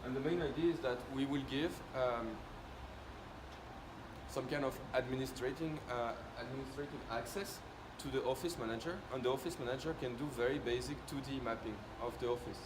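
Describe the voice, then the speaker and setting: A man's voice: mid-range voice, steady tone, a little fast. French guy doing a casual product demo, keeps checking you follow, echoey room w/ background noise. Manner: explanatory, often ends points with checking tag questions. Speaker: company presenter demonstrating a product.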